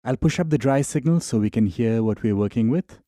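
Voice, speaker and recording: A man narrating a software tutorial in an informal, explanatory way. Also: mid-range voice, expressive, fairly quick, no echo, clean studio sound.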